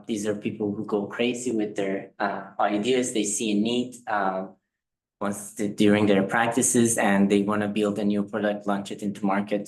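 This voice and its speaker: A man introducing himself on a panel as the founder of a consulting firm, measured and business-like. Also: lowish voice, rough recording.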